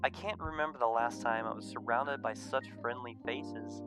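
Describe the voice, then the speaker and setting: A man reading a visual novel aloud as expressive scripted narration. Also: medium pitch, moderate pace, over music.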